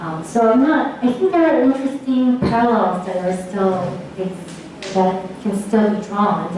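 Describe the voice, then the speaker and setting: A woman speaking as an academic, answering audience questions after a lecture in a hesitant, thoughtful, measured way. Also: on the low side. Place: large echoing lecture room, distant mic. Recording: echoey hall from far off, faint noise.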